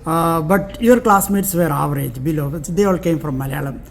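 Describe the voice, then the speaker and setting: A man interviews a guest with halting, hesitant questions. His voice sits somewhat high for a man. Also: vocal fry.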